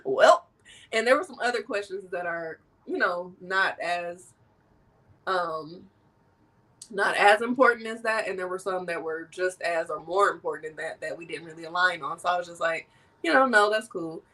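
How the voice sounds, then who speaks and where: Woman, podcast host, slightly nasal from a cold, animated, a bit off-mic. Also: on the low side, normal speed, far from the mic. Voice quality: animated and laughing often. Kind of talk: casual conversation.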